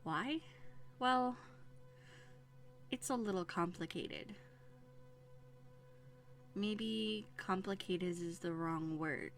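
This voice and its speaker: A woman plays a bookish young runaway princess in an audio drama. The voice sits slightly low for a woman, with an earnest, conversational delivery and hesitant phrasing that carries a quiet bitterness. It is closely miked, with music underneath. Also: extremely slow, long pauses. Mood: hesitant, candid. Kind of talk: roleplay audio drama acting. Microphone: close USB condenser microphone.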